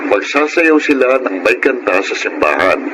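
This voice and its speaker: Low-voiced, fatherly Filipino priest. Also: big pitch swings, normal speed. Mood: friendly. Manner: gentle, reassuring. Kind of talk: radio drama acting. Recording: noisy radio drama recording.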